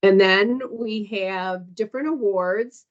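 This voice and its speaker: A woman's voice: mid-range voice, takes it slowly. A woman on a conference call walking through slides for an orientation, hesitant and full of ums as she reads down lists. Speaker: foundation staff presenter. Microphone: conference call audio. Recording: dull lo-fi call recording.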